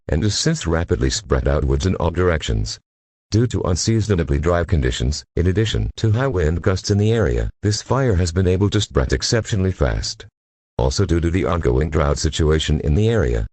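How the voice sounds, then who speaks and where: An extremely deep-voiced local news anchor, acted by a man, serious and formal. His voice is husky and rough-edged, recorded dry. He delivers a scripted report soberly. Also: a little mellow, dry recording, heavily acted.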